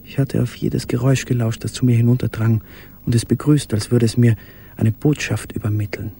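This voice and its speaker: Ein Schauspieler spielt in einem Hörspiel einen gequälten, sich erinnernden Mann im Versteck, gedämpft, stockend und intim gesprochen. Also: male voice, medium pitch, fairly even intonation, a little fast, vocal fry, mellow, close to an everyday voice. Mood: haunted, yearning. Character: haunted man in wartime hiding, remembering a beloved. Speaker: actor in a radio play. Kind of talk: literary radio play monologue. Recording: faint background noise.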